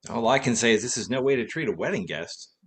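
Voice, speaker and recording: A man's voice: mid-range voice, lively intonation, fast talker, natural voice. A man playing a cheerful, wisecracking former private detective in a radio play, dry and flippant. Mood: wry, flippant.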